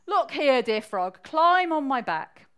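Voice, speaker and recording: A woman's voice: sits high, very animated, takes it slowly, voice pushed up, exaggerated voice. A woman voicing a brave, kind male mouse, emphatic, in a rhyming children's story.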